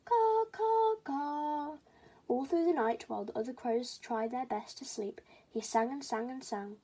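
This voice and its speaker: A woman's voice: on the high side, moderate pace, mellow, breathy edge. A woman reading a children's story aloud as scripted storybook narration.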